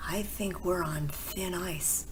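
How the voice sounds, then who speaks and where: A woman voicing a cautious, thoughtful young boy in a storybook, hushed, breathy and wary. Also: fairly low pitch, slow pace, background noise. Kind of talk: storybook character reading.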